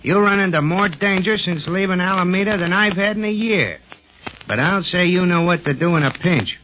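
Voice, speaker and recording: An actor playing a grumbling, wisecracking pilot sidekick in a 1930s radio serial, slangy and exasperated. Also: male voice, sits high, moderate pace, close to an everyday voice. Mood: earnest. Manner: slangy, exasperated comic complaining. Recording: muffled, noisy 1930s radio recording.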